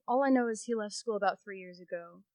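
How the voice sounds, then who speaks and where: A woman's voice: mid-range voice, quick pace, a little mellow, no echo, studio quality, lightly acted. A woman playing a chatty, frank newspaper colleague in an amateur radio play, casual and slangy. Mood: frank, intrigued.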